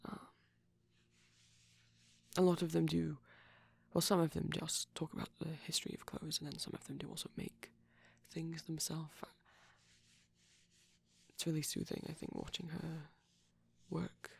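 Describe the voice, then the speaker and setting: A man playing a shy, gentle vampire boyfriend in intimate ASMR roleplay, speaking softly and hesitantly, often close to a whisper. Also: on the high side, flat delivery, a little slow, long pauses, full character voice. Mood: softly enthusiastic, hesitant. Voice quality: soft, breathy, husky, often near a whisper. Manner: hesitant, bashful, rambling tenderly.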